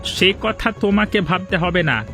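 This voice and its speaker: An older village patriarch in a cartoon drama. His voice sits high for a man, and he orders, scolds and threatens like a schemer used to being obeyed. Faint music plays behind him. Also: lively intonation, unhurried, bright, forceful tone, heavily acted. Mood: angry, scolding.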